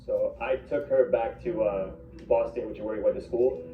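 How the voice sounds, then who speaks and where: A man's voice: on the low side, fairly even intonation, moderate pace, soft background music. A thoughtful man explaining his views casually and checking in with "right?". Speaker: contestant. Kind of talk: casual interview answers. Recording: tinny, echoey, distant clip.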